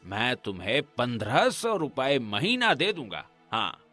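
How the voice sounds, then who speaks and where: A man's voice: medium pitch, wildly swooping pitch, measured pace, bright, forceful tone, soft background music, full character voice. A man playing a greedy, condescending village priest and moneylender in a cartoon, with a pompous manner. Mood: falsely friendly. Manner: pompous, condescending, tags questions onto sentences. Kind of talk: acted Hindi cartoon dialogue.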